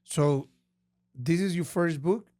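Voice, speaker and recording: A man's voice: on the high side, slow, darkish tone, no room sound, studio quality. A man hosting a podcast, asking questions in a friendly way, often repeating words as he goes. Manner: friendly, repeats words, non-native phrasing. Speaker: podcast host. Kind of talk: casual interview.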